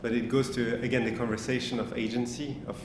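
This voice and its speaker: A man on a summit panel giving a measured, explanatory answer to audience questions. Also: mid-range voice, little pitch movement. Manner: measured, explanatory, thinking aloud. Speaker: panel speaker. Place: lecture hall. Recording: echoey hall, distant mic, noisy.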